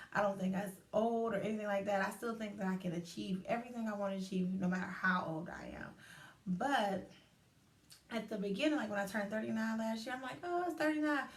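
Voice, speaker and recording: Woman in her late thirties, animated casual host, echoey room, a bit off-mic. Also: mid-range voice, brisk. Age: 30s. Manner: animated, chatty, acts out inner voices. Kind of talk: casual livestream talk. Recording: roomy echo, distant from mic.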